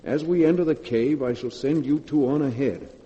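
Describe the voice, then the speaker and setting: A man's voice: medium pitch, moderate pace, mellow, natural voice. A man acting a tense, guilty lover trapped in a cave, with urgent theatrical delivery in a 1940s radio horror drama. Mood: grave reading. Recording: muffled vintage radio, long hall reverb.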